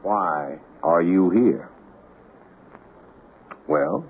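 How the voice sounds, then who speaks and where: Through a thin, phone-like old radio broadcast comes a jaded police lieutenant. His voice is low and husky, and he presses his questions with sardonic skepticism in radio-drama style. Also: drawn-out words, heavily acted. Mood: pressing. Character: world-weary, cynical male police lieutenant questioning a suspect.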